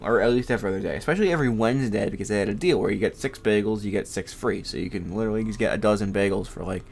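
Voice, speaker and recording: A man's voice: on the low side, rapid-fire, creaky, a little mellow. A young man with a congested voice, rambling casually as a podcast host, swearing freely and laughing often.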